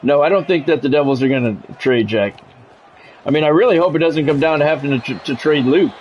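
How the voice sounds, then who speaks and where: Higher-voiced American sports announcer, noisy background. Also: male voice, a little fast, a little mellow, dull audio. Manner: polished radio-announcer delivery in short clipped calls. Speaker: livestream host and sports play-by-play announcer. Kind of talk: football game play-by-play commentary mixed with casual chat to viewers.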